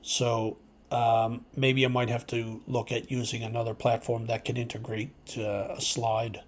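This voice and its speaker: A man's voice: medium pitch, monotone, slight background noise. Male hobbyist video host, mid-range voice, informal explainer delivery, hesitant and unscripted. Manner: hesitant, halting, thinking aloud. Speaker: amateur radio video host.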